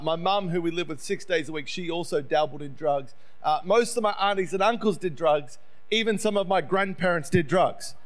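A middle-aged Australian man preaching as a visiting speaker, telling his personal story in a conversational, self-deprecating way. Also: a little high. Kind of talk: sermon, personal testimony.

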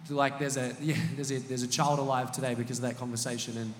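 A man's voice: medium pitch, level tone, fast talker. A man who leads a small church group, sharing stories in a casual panel chat with lots of ums and restarts. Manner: storytelling, hesitant fillers. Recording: echoey hall with long reverb.

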